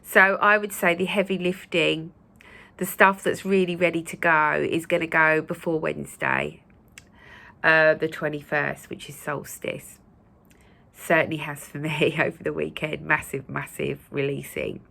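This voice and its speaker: Woman host, croaky and gravelly after a cold, lowish voice, gentle reassuring chat to viewers. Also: flat delivery, a little slow. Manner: gentle, reassuring, with many fillers. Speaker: spiritual channel host. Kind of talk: casual spoken talk to viewers.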